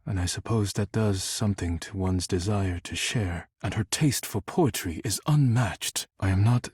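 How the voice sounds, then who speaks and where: Serious living dish, very husky breathy voice, formal and reserved, measured delivery, proud temper, game dialogue, dry studio sound. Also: male voice, sits low, darkish tone, no room sound. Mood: guarded. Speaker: voice actor in a dating sim game. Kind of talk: scripted character dialogue.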